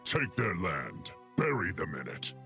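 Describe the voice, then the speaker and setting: A harsh empire general from a game, forceful and gravelly, ruthless. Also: male voice, deep voice, huge pitch swings, measured pace, faint music behind, full character voice. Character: ruthless, aggressive imperial war commander. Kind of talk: video game character voice acting.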